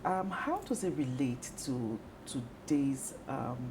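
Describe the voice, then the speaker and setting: A Nigerian woman hosting a conversational television interview, speaking hesitantly with pauses in the middle of phrases. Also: a bass voice, expressive, background noise. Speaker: television talk show host.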